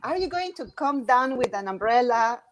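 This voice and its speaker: A woman hosts an online panel with a high voice and plenty of enthusiasm. Her English phrasing is slightly unusual. She warmly welcomes her guests. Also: animated, moderate pace, slight background noise. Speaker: host. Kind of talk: online interview.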